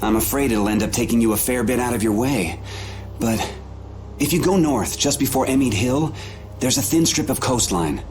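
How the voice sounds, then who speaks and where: A man's voice: mid-range voice, normal speed, a little mellow, a little hiss or hum, lightly acted. A man playing a helpful, cautious family man in a video game, with a plain-spoken, folksy manner.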